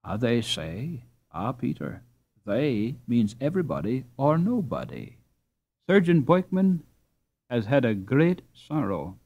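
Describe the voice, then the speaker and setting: A man voicing a placid, dignified, kindly mother in a storybook, measured and courteous as she gently admonishes. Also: mid-range voice, wildly swooping pitch, lo-fi sound, exaggerated voice. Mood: sympathetic.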